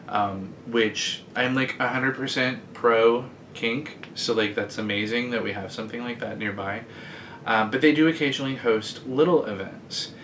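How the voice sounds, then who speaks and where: A man's voice: fairly low pitch, fairly bright tone, a little hiss or hum. A man in his late thirties chatting casually to camera from indoors, rambling and joking at his own expense. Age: 30s. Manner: chatty, rambling and self-deprecating. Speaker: vlogger. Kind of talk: casual direct-to-camera chat. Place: indoors, sitting against a bed frame.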